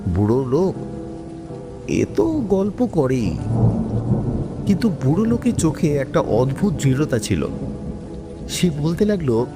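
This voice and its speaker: Animated male horror narrator with long reverb. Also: a little high, unhurried, pauses often. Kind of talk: dramatic scripted horror storytelling. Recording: long reverb, music bed.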